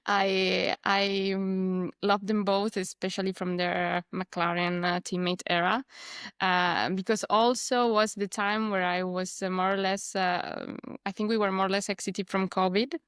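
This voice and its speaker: A woman's voice: mid-range voice, level tone, unhurried, a bit bright, clear, resonant tone, dry recording. Spanish-accented woman, hesitant and dry. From Spain. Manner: hesitant, many fillers, laughs often. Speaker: guest. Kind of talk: casual interview.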